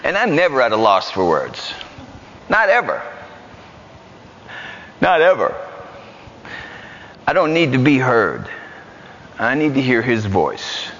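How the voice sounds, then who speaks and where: A man with a New York accent preaching a lively, joking sermon in a church. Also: medium pitch, slow pace, long pauses, a little hiss or hum. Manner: animated, joking, repetitive. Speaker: guest preacher. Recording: muffled church hall recording.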